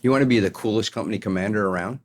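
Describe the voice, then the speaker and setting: A man's voice: lowish voice, racing. A middle-aged American man telling stories from his career as a guest in a conversational interview. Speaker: podcast guest, retired army colonel.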